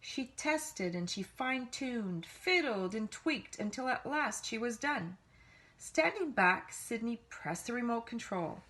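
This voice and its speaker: A woman reads a children's storybook aloud in an animated way, leaning on key words with dramatic emphasis. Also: fairly high pitch, unhurried, rough recording. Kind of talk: expressive read-aloud storytelling.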